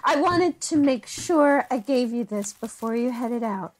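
A woman's voice: fairly high pitch, animated, a little slow, darkish tone, a little hiss or hum. A woman playing a chatty, hospitable small-town host in an audio drama, effusive, folksy and coaxing. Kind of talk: naturalistic audio drama acting.

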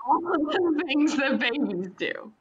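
An actress playing a supportive, teasing younger sister in a radio play. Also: female voice, expressive, measured pace. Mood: reassuring. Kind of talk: radio play acting, naturalistic dialogue. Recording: dull, muffled low-fidelity microphone.

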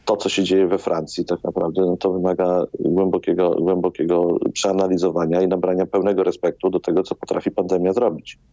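A man's voice: deep voice, level tone, fairly quick, few pauses, mellow. A man, a doctor answering interview questions hesitantly, repeating words as he explains, over a video call. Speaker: doctor, hospital chief interviewed as guest. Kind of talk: live radio interview answers. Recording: dull, roomy video-call sound.

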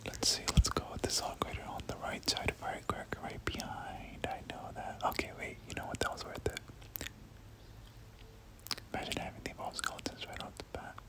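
A gaming creator giving ASMR game commentary in a breathy whisper, often repeating words in soft rhythmic runs. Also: fairly quick.

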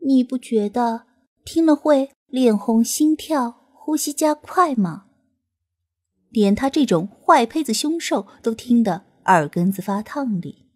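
Mischievous fantasy beast girl, acted by a woman narrator: goading, coy, animated, slightly husky. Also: fairly high pitch, slow, full character voice. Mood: teasing. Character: mischievous, teasing female beast demon from Chinese fantasy.

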